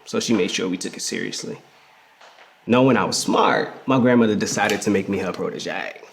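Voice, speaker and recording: An actor playing a reflective man in a theatrical first-person memoir monologue, telling it conversationally with emphasis and pauses. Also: fairly low pitch, gravelly, a little hiss or hum. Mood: fond, playful. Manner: conversational, emphatic storytelling with pauses and repeated words. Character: reflective queer man fondly recalling his hustling grandmother. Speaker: actor in a dramatic reading of a memoir. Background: music.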